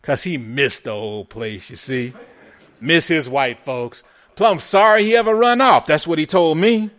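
A humble-acting, smiling Southern man, voiced by a male audiobook reader. He is ingratiating and folksy, with an American Southern accent and a raised, eager pitch suited to a period story. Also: a little slow, fairly bright tone. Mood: ingratiating, cajoling.

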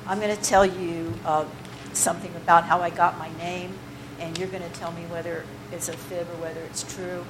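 A woman with a slightly low voice leads a poetry workshop in a loose, conversational way, wandering off on side paths with plenty of ums and restarts. The recording carries a steady layer of noise behind her. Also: normal speed. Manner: chatty, digressive, with many fillers and restarts. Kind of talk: informal workshop talk to a group. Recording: noisy room recording.

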